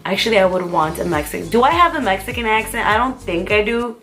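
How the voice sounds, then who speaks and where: A woman's voice: medium pitch, expressive, fast talker, a bit bright, faint music behind. A young American woman chatting casually through a vlog tag video, rambling and giggling as she answers questions. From United States. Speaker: vlogger.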